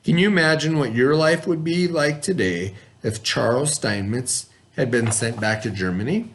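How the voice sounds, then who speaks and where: A man's voice: medium pitch, animated, a little hiss or hum. Man teaching a children's read-aloud lesson. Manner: patient, asks children questions and pauses.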